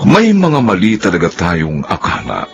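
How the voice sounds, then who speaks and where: A man's voice: low-pitched, huge pitch swings, moderate pace, lo-fi sound. A man with a Filipino accent giving scripted, reflective narration as the narrator of a radio drama. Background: music.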